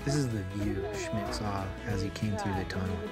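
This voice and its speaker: Casual American male vlogger, 30s, conversational narration, loud music underneath. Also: fairly low pitch, moderate pace. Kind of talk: casual travel vlog narration.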